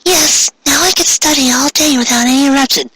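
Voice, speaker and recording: An earnest, urgent teenage heroine acted out by a man in a storybook-style reading. The voice is pitched very high and sounds sharp and girlish, with exaggerated delivery over faint background noise. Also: fairly quick, low-quality recording. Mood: eager. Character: falsetto teenage girl superheroines, earnest and urgent.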